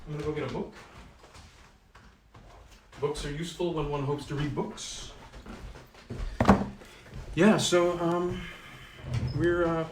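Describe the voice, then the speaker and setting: A man's voice: a little high, little pitch movement, takes it slowly, long pauses, echoey room, recorded from a distance, background noise. A man speaking as an informal storyteller, reading and introducing old tales.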